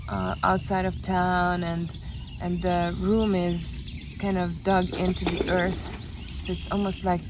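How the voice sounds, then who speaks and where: Slow, soft-spoken Hungarian-born woman outdoors. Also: on the low side, background noise. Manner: slow, gentle, pausing often. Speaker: retreat guest sharing her experience. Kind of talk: reflective outdoor interview. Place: outdoors by a lake with trees. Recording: outdoor audio with clear birdsong. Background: birdsong.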